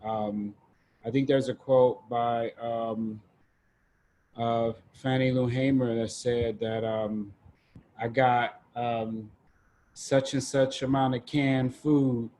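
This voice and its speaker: Male group host, informal and halting, little pitch movement, some background noise. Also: level tone, a little mellow, poor audio. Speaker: discussion facilitator. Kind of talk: casual group facilitation on a video call. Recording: noticeable background noise on online call.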